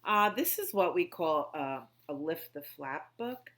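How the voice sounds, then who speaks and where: A woman with a fairly low voice hosts storytime for little children. She reads and explains slowly and simply, with very lively, swooping intonation. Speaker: children's library storytime host. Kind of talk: storybook read-aloud and songs for young children.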